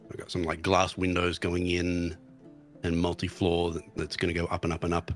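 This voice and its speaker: A man's voice: deep voice, completely flat delivery, normal speed, soft background music. A man guest streamer chatting casually about his game, hesitant with restarts, recovering from tonsillitis. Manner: hesitant, many restarts and ums.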